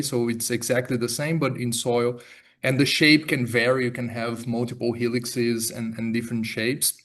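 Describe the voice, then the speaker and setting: A man with a Brazilian accent presents his research in a lecture theatre. His delivery stays flat and even in pitch, relaxed and full of fillers and small restarts. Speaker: research fellow presenting a webinar talk. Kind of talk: academic presentation.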